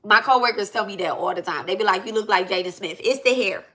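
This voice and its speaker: Bright-voiced blunt woman in her thirties. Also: mid-range voice, fast talker. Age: 30s. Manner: animated and blunt, with slangy asides and repeated "right?" and "okay?". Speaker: spiritual reader and channel host. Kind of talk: unscripted spiritual talk to a live audience.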